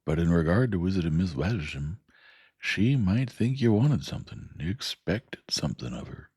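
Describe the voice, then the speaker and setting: A man voicing a plain-spoken, kindly working-class blacksmith with speech, talking slowly and emphatically. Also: sits low, sing-song, a little mellow, breathy edge, no echo, clean studio sound, full character voice. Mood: earnest. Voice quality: dialect grammar, slow and emphatic. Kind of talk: audiobook character voice.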